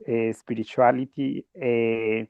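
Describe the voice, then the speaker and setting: A man's voice: medium pitch, level tone, takes it slowly, creaky, dry recording. A man introducing himself as a debate panelist, hesitant, with frequent "eh" fillers. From Colombia. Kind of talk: casual self-introduction. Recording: tinny phone-like call audio.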